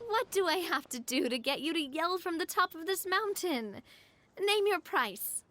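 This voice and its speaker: High, lilting, polite young lady. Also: expressive, moderate pace, pushed and bright, a little hiss or hum, heavily acted. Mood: teasing. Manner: polite, considerate. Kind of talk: video game voice acting.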